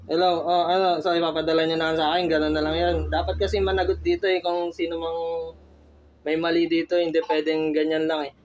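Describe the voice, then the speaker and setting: A Filipino man speaks as a customer on a phone call, in a slightly high voice with little rise and fall. His delivery is halting and insistent as he complains. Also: flat delivery. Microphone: telephone.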